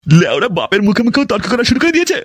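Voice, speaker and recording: Grumpy cartoon dad, played by a man: extremely high voice, fast crude scolding, close dry sound. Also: wildly swooping pitch, no echo, heavily acted. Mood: angry scolding. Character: grumpy foul-mouthed father berating his idle son. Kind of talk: exaggerated comic cartoon dialogue.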